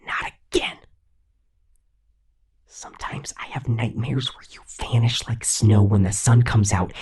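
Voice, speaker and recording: A woman's voice: on the low side, darkish tone, slightly rough, full character voice. A woman voicing a possessive, lovesick young male killer robot in an intimate, coaxing ASMR roleplay monologue. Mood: devoted, menacing. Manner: slow, intimate, coaxing whisper.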